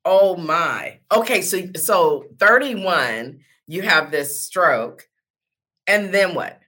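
A woman's voice: fairly low pitch, expressive, slow, pushed and bright. A woman hosting a conversational interview, responding to her guest with sympathy. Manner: sympathetic, reactive. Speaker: show host, interviewer.

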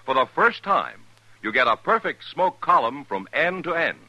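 A man's voice: sing-song, normal speed, pushed and bright, no echo, muffled recording. A man reading a polished, emphatic 1950s radio commercial as announcer. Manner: polished, emphatic sales pitch. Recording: dry 1950s radio recording.

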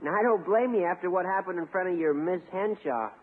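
An eager teenage boy with a high, husky voice. He is earnest but cheeky and tactless. The recording is a thin, tinny 1950s radio comedy. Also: fairly quick.

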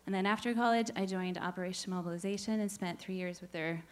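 A woman's voice: medium pitch, fairly even intonation, quick pace. A woman in her forties from the United States answers questions in a church service, candid and a little nervous, working from notes. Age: 40s. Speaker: church search team member.

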